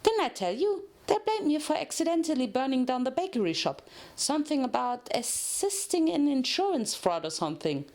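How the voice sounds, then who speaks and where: Bubbly young cartoon mare played by a female reader, very high, excitable chatterbox. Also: normal speed. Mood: chatty, perky. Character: hyper, bubbly young pink mare prisoner. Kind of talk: dramatic fanfiction reading of a cartoon.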